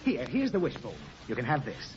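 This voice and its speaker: A man's voice: medium pitch, wildly swooping pitch, a little fast. A man playing a fussy, easily flustered father reasoning with his small daughter in a 1940s radio comedy. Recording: muffled, noisy 1940 radio, studio audience.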